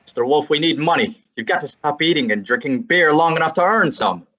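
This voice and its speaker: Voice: medium pitch, animated, a little fast, a bit bright. A student actor playing an exasperated young male assistant and narrator in a student radio drama, with a pleading, wisecracking manner. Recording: dull lo-fi recording.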